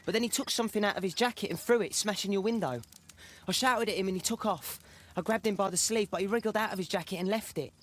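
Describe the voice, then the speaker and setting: An actor playing an eager, earnest young British street lad in a video game, speaking deferentially. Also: male voice, sits high, fast, lightly acted. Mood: urgent, earnest. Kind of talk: dramatic video game dialogue.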